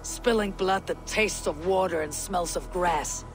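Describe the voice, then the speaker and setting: A proud viking shieldmaiden, firm and measured, with music under her. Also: on the low side, poor audio. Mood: pleading. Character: resolute female viking warrior, steady and proud. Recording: game audio with music behind.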